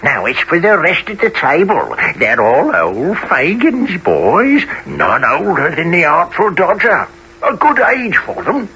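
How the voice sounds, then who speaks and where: Oily old rogue, wildly swooping voice. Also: male voice, fairly high pitch, huge pitch swings, unhurried, pushed and bright, exaggerated voice. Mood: oily welcome. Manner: unctuous, fussily courteous. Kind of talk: family radio play acting. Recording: dull lo-fi with faint noise.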